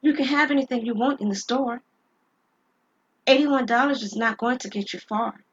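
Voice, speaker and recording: A woman's voice: fairly high pitch, moderate pace, lo-fi sound, a little hiss or hum, voice pushed up, close to an everyday voice. A woman playing a nervous but bold store cashier, speaking cautiously in an audio drama. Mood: nervous, careful. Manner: cautious, questioning.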